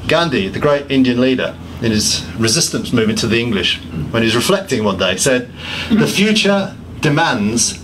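A man's voice: mid-range voice, background noise. A man giving a public talk, listing points emphatically and often restarting his sentences with self-deprecating asides. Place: pub function room.

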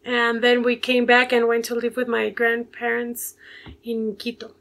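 A woman's voice: fairly high pitch, completely flat delivery, a little slow, a bit bright. A nineteen-year-old woman from Ecuador, speaking English with a Spanish accent on a video call from home, hesitant and full of fillers as she searches for words. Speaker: guest. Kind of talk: casual video call interview. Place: home bedroom with bookshelves. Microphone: earphone mic on a video call.

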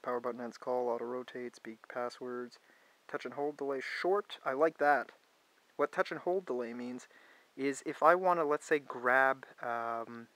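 A man's voice: mid-range voice, a bit bright, rough recording. Chatty congested man reviewing a phone. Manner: chatty, thinks aloud, frequent restarts. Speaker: tech reviewer. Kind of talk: casual hands-on phone review.

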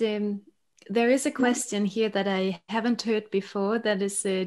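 Polite woman hosting a webinar. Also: medium pitch. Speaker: webinar host. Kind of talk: casual interviewing. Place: small office or room indoors. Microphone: video call.

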